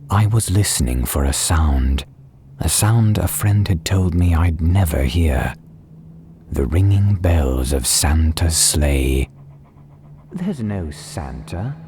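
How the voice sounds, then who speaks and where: Very deep, slow male storyteller. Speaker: audiobook narrator. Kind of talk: scripted dramatized storybook narration. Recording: clear ambience and train noise behind. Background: soundscape of train and ambience.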